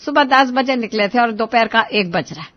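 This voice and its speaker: A woman's voice: a little high, lively intonation, normal speed, highs cut off, lightly acted. A woman voicing a cranky, complaining older mother-in-law in a moral-story cartoon, scolding and grumbling with exclamations.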